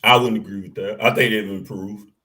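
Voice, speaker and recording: A man with a deep, bassy voice, co-hosting a casual sports talk show and arguing his points with animated, salty energy. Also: a little fast. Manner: animated, argumentative, swears casually.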